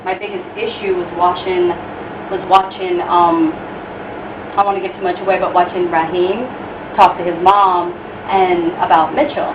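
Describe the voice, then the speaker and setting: A woman with a slightly low voice gives a candid, earnest interview answer. She sounds off from the mic in an echoey space, with clear noise around her. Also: flat delivery, normal speed. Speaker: cast member being interviewed. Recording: echoey, distant, dull, noisy room sound.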